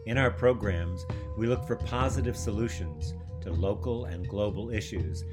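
Low-voiced male radio host. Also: over music. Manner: measured, formal announcer delivery. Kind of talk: scripted show introduction and interview.

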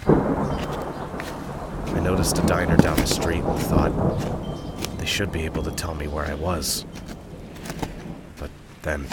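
Man acting a low, weary death-row convict. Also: level tone, takes it slowly, pauses often, very noisy. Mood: brooding, haunted. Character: weary, guarded male death-row convict haunted by his memories. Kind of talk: audio drama acting and narration. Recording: music behind the voice.

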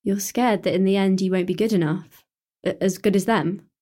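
A woman's voice: mid-range voice, fairly even intonation, normal speed, dark tone, lightly acted. An actress playing Emma, a caring, teasing teenage schoolgirl in a radio drama, speaking in an earnest, coaxing way. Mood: warm.

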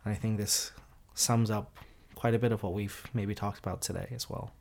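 A young man from the United States, talking in a relaxed interview. His voice sits fairly low with a gravelly creak. He speaks reflectively, drawing out words as he thinks. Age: young adult. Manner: reflective, measured, drawn-out phrasing. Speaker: guest, student organiser. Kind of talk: conversational interview.

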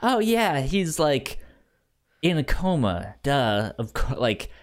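A man co-hosting a podcast, talking casually about a film in a halting way, often repeating syllables as he searches for words. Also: mid-range voice, very animated. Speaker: podcast co-host.

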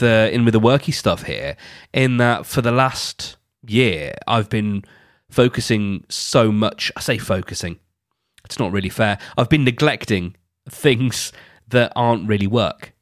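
A man's voice: mid-range voice, no room sound, studio quality. A man hosting his own podcast, talking casually and rambling a little, with a congested, nasal voice from a cold. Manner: chatty, rambling, self-correcting. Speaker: podcast host and producer. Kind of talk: casual solo podcast talk.

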